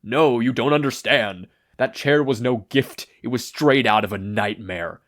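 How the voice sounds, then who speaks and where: A man acts out a traumatized older hero from a story. He speaks in dramatic, halting bursts, shaky and full of wounded dread. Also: mid-range voice, a bit bright, close to an everyday voice. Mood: wailing.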